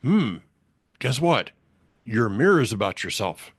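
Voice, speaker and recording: A man's voice: medium pitch, big pitch swings, measured pace, husky, dry recording, clean studio sound. A man with a Southern US accent hosting a casual faith conversation, folksy and meandering, with lots of restarts. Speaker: podcast host.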